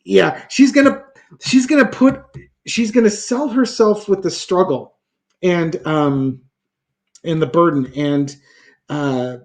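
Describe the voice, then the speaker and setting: A man's voice: on the high side, a little mellow. A man reading tarot cards in a casual talk show, thinking aloud with many fillers and restarts. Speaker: tarot reader.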